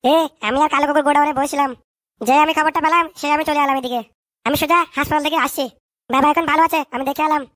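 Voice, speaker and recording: An actor voicing a hot-headed, boastful cartoon son in a comic dub, blustering, excitable and repeating phrases. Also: sits high, very fast, no echo, clean studio sound. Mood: bossy. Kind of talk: comic dialect cartoon dubbing.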